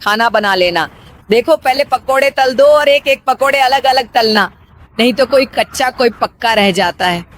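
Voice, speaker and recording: High-pitched bossy mother-in-law, voiced by a woman. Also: animated, normal speed, dry recording, soft background music, close to an everyday voice. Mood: impatient, scolding. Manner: scolding, commanding. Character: bossy, nagging middle-aged Indian mother-in-law. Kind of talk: cartoon moral story dialogue.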